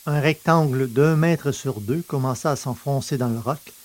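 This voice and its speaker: A man's voice: medium pitch, moderate pace. A male audiobook narrator with a mid-range voice. He reads scripted, literary science fiction prose in careful phrases, like a podcast host presenting a serial.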